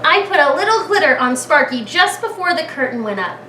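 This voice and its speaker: A woman reading a picture book aloud to young children as a kindergarten teacher, expressively, with dramatic pauses and thinking aloud as she asks the listeners questions. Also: high voice, very animated. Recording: echoey room, distant mic, clear background noise.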